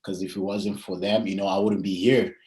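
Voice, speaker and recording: A man's voice: sits low, little pitch movement, a little mellow, low-quality recording. A young man, chatting casually and hesitantly as an athlete being interviewed. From Zimbabwe and South Africa. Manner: hesitant, frequent pauses and "you know".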